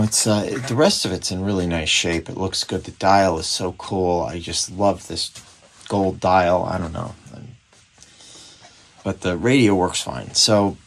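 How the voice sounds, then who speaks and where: A man's voice: deep voice, gravelly, a little hiss or hum. A man giving casual hands-on commentary on a repair, musing and thinking aloud as he works indoors at a bench. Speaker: radio repairer presenting a restoration.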